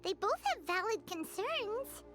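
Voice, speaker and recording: A woman voicing a tiny, excitable, childlike fairy companion in an anime video game, with a squeaky voice. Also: unhurried, music in the background, exaggerated voice. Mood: eager, earnest.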